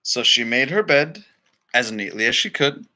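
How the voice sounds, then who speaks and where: A man's voice: medium pitch, lively intonation, normal speed, bright, forceful tone. A man reading a children's story aloud expressively, with dramatic phrasing and casual asides. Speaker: storybook reader.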